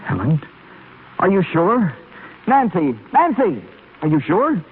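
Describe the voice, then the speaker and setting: An actor playing an earnest, anguished doctor who speaks haltingly in a 1930s radio drama. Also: male voice, sits high, wildly swooping pitch, full character voice. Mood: urgent. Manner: halting, stammering when troubled. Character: earnest, anguished male doctor longing for lost love. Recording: scratchy, muffled 1930s radio broadcast.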